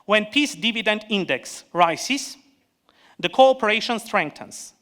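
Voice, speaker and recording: A man with an Armenian accent delivers a prepared conference speech as a panel speaker. His voice sits high and sounds bright and forceful. He speaks in an earnest, measured way and now and then stops to restart a word. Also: lively intonation.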